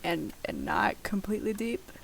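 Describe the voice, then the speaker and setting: Casting: young adult woman, mid-pitched, casual Let's Play host who rambles and pokes fun at herself, faint noise on the recording. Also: normal speed, gravelly. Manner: rambling, self-deprecating, swears casually. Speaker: gaming video host. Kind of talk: casual Let's Play commentary of a survival game. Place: at home, late at night. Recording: noisy home game recording.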